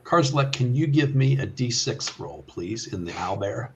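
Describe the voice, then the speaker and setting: A man narrating a tabletop game as game master in a halting way, thinking aloud, on an online call. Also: medium pitch, expressive, background noise.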